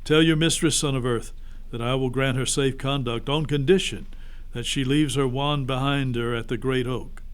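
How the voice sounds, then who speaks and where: A man voicing a calm, commanding male lion in a storybook, grave and measured. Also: mid-range voice, clean tone, lightly acted. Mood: grave authority.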